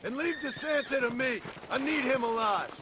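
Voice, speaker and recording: An actor playing a gruff, fatalistic American outlaw turned bounty hunter in a western video game, with an American accent. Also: male voice, very high-pitched, moderate pace, heavy background noise. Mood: commanding. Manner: blunt, fatalistic. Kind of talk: video game cutscene acting.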